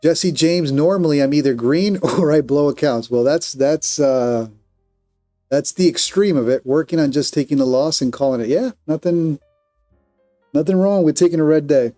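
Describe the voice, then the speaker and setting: Colombian male streamer, slightly high and warm-toned, frank and self-critical. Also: moderate pace, soft background music. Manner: candid, self-critical, with frequent restarts. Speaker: day trader streaming live. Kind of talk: live stream commentary, reading viewer chat.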